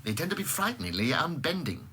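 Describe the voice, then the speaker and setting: An actor playing a blunt, sceptical, irritable British scientist of high standing in a radio drama. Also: male voice, sing-song, quick pace, sharp tone, a little hiss or hum. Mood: dismissive. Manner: blunt, plain-spoken.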